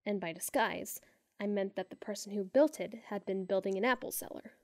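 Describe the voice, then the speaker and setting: A woman narrating a first-person story in a measured way, sinking to hushed tones in tense moments. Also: medium pitch, no echo, clean studio sound. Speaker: audiobook narrator.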